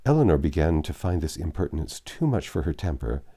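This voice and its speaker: Low, mellow male audiobook narrator. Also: sing-song, a little fast, breathy edge. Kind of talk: literary narration of a classic novel.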